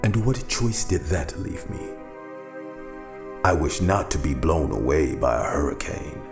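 Sinister back-alley doctor, older: bottom-register, unhurried, formal menace, music underneath. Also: male voice, extremely low pitch, lively intonation, creaky, exaggerated voice. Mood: ominous, resolute. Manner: slow, deliberate, formal. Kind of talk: cyberpunk radio drama acting. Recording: roomy echo with music behind.

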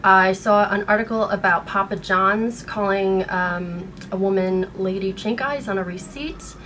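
An American woman in her thirties speaking in an earnest, halting way, upset and shaken, as in a personal vlog. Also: medium pitch, fairly bright tone. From United States. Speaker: vlogger. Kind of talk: personal video blog, partly reading aloud. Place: small bedroom at home. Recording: noticeable background room noise.

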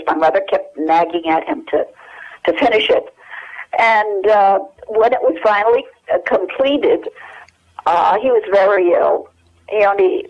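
An American woman in her eighties reminiscing casually and haltingly as an interview guest over the telephone. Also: medium pitch. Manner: halting, searching for memories. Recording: dull, lo-fi telephone hook-up.

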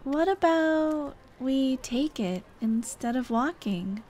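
A young woman voicing a dead girlfriend's caring, gently nagging ghost in an ASMR roleplay drama, tender and coaxing. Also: high-pitched, expressive, slow pace, a little hiss or hum. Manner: tender, coaxing, soft-hearted scolding. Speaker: roleplay voice actress.

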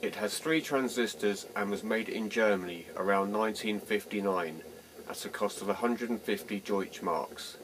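A man reading a scripted test narration in a measured, deliberate way, as a presenter demonstrating equipment. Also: lowish voice, lively intonation. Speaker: presenter of a vintage tape recorder demo. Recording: noisy vintage reel-to-reel test recording.

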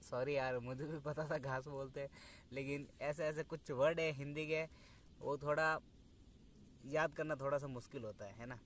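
A man's voice: mid-range voice, fairly even intonation, normal speed, a little hiss or hum. Chatty young man vlogging outdoors. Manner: chatty, laughs often, addresses viewers directly. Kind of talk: casual outdoor vlog narration. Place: outdoors in dry scrubland.

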